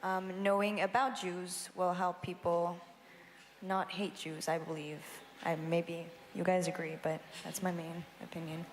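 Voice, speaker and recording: A young woman from Brussels talks on a panel in a conference hall, measured and pausing on filler sounds. A long reverb and faint ambience fill the room around her. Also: lowish voice, level tone, a little hiss or hum. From Brussels, Belgium. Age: young adult. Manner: measured, with frequent "um"s. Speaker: student representative on a panel. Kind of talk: panel talk.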